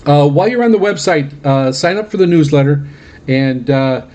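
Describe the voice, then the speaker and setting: Halting male radio host, dull lo-fi sound. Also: on the high side, expressive, a little mellow, slight background noise. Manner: halting, frequent uhs and repeated words. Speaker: host of a shortwave radio hobby program. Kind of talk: casual radio monologue recounting personal trips. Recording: dull, lo-fi band-limited audio.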